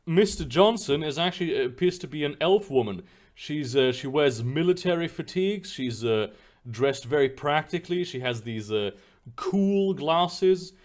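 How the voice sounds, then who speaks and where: A man's voice: on the high side, normal speed. A man acting as game master, rambling and then reading out a mission brief. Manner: rambling, animated, reads mission briefs aloud. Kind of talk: running a tabletop game.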